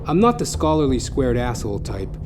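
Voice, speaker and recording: A man's voice: medium pitch, sing-song, fairly quick. A man hosting a comedy podcast, delivering a scripted monologue in a theatrical, florid, mock-grandiose and crude manner. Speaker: comedy podcast host and narrator. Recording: music bed under the voice. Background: music.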